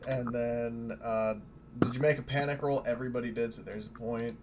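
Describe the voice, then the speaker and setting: A man's voice: medium pitch, fairly even intonation, moderate pace, a crowd in the background. A man talking casually and swearing now and then as a player in a tabletop roleplaying session. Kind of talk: casual game-session chat. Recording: clearly noisy background hiss.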